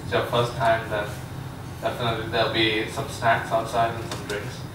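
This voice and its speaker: A man introduces the evening's speaker in a hesitant, filler-heavy way. His voice sits fairly low and flat, and it is picked up from far away in a very echoey room. Steady background noise sits underneath. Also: normal speed. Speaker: event host introducing a speaker. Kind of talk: informal introduction. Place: large echoey lecture room.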